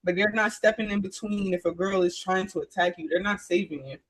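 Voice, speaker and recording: A woman's voice: medium pitch, brisk, rough recording. A woman in her twenties telling stories casually and candidly as an interview guest, emphatic and slangy, repeating phrases for emphasis. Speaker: interview guest, trade program graduate.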